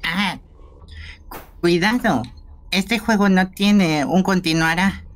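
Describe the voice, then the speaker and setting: A man's voice: high voice, slow pace, fairly bright tone, pitched above the performer's own voice. A man voicing a scornful, possessive and menacing boy in an amateur video game dub. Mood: warning. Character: taunting, possessive boy obsessed with coffins.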